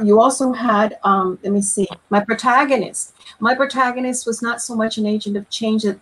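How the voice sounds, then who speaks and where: A woman's voice: mid-range voice, fairly bright tone, breathy edge, low-quality recording. A woman, an author and former librarian, answering a panel question over a video call in an earnest, thorough way with self-deprecating asides. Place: home room on a video call.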